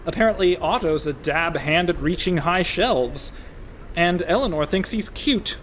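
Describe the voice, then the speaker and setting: A man's voice: high-pitched, lively intonation, a little slow, fairly bright tone, a little hiss or hum, rough recording. An actor in a comic radio play, playing a sardonic, fretful con man posing as a pirate merchant. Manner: dry, exasperated, worrying.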